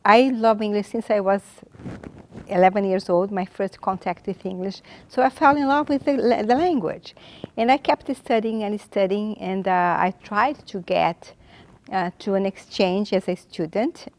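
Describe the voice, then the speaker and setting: A woman's voice: medium pitch, fairly even intonation, darkish tone, slight background noise, rough recording. Brazilian woman in her 50s, Portuguese native speaker; earnest documentary interviewee who often restarts her sentences. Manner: earnest, halting, with frequent restarts. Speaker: graduate student and legal translator.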